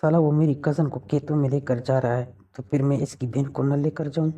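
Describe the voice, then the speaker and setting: Muffled, dark-voiced man, teasing. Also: mid-range voice, fairly even intonation, fairly quick. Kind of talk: casual intimate phone conversation. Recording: muffled, distant phone call recording.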